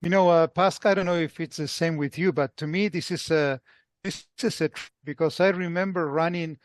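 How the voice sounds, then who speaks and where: A man co-hosting a casual interview podcast, effusive, with halting phrasing. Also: a little high, fairly even intonation, dry recording, clean studio sound. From Buenos Aires, Argentina. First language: Spanish. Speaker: podcast co-host.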